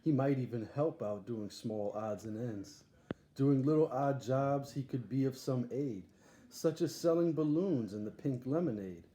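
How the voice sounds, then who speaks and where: A man's voice: mid-range voice, measured pace, warm tone, lightly acted. A man voicing an eager, boastful boy showman in a storybook, barking grandly like a ringmaster. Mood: breezy, assured. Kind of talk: storybook read-aloud character. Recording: echoey room, faint background noise.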